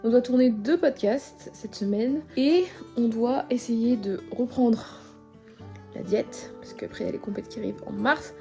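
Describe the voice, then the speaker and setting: A woman's voice: fairly high pitch, normal speed, creaky, mellow. Casual young woman vlogging over music. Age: 20s. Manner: informal, chatty. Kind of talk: casual vlog narration to viewers.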